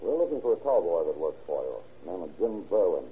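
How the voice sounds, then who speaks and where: A man's voice: deep voice, big pitch swings, fairly quick. An actor playing an earnest American man in an old radio police drama. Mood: businesslike. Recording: tinny, very noisy old radio recording.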